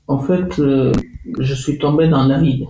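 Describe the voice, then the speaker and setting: Gravelly-voiced man with a Kurdish accent. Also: mid-range voice, fairly even intonation, dark tone, reverberant, slight background noise, rough recording. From Iraqi Kurdistan. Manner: halting, many hesitations and restarts. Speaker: interviewee, former journalist. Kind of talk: testimony interview.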